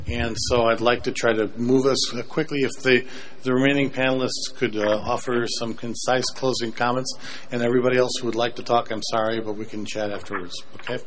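A man moderating a formal panel discussion in a polite, measured way. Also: medium pitch, slight background noise.

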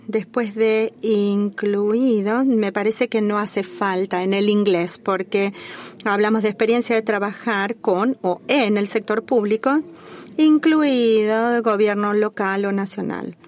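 A woman giving a simultaneous interpretation of a formal working-group call, speaking in a measured, formal way with small restarts. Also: mid-range voice, fairly even intonation, darkish tone.

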